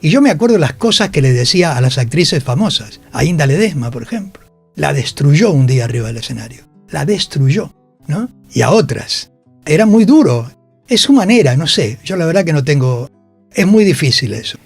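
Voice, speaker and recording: Older man, Rioplatense accent, higher-pitched voice, animated storytelling, radio interview, music behind. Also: moderate pace, vocal fry, slightly rough. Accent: Rioplatense Spanish. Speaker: interviewed actor. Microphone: radio studio microphone.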